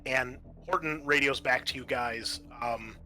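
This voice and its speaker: A man's voice: medium pitch, unhurried, sharp tone, slight background noise. A man acting as game master for a tabletop roleplaying game, explaining turns and narrating in a conversational, improvised way.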